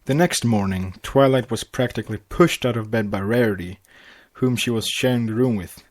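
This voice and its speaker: A man's voice: medium pitch, normal speed. A man reads a story aloud as a podcast narrator, in the style of storybook narration. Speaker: podcast host reading fan fiction aloud. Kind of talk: storybook narration with acted dialogue.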